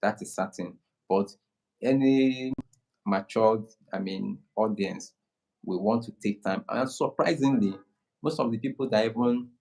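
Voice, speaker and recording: A man from Nigeria with a Nigerian accent, speaking slowly and calmly in casual conversation, often restarting his sentences. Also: on the low side, gravelly, poor audio. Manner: slow, unhurried, many restarts. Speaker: guest creator.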